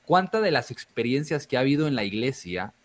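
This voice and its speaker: A man's voice: medium pitch, very animated, brisk. A man co-hosting a live talk show, speaking reflectively with frequent hesitations and restarts. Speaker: podcast co-host. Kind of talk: casual live discussion.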